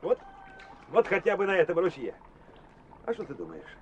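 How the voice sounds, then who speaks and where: Friendly working man, highish swooping voice, easygoing and encouraging, radio drama. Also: wildly swooping pitch, moderate pace, a little mellow. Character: friendly adult power-line worker, encouraging. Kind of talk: radio play acting. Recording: muffled, noisy old radio play.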